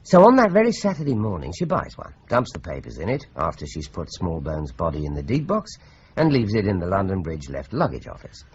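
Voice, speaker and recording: Low, measured detective, animated phrasing, muffled radio-play sound. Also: male voice, a little hiss or hum. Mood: explaining. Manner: measured and explanatory. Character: calm, measured senior male detective.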